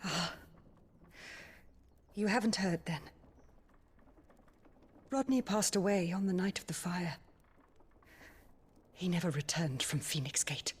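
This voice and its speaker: An actress playing a gentle, motherly, middle-aged widowed noblewoman in a video game, refined and tender. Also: fairly low pitch, takes it slowly, long pauses, slightly rough, full character voice. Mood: grieving, tender. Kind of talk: dramatic video game cutscene acting.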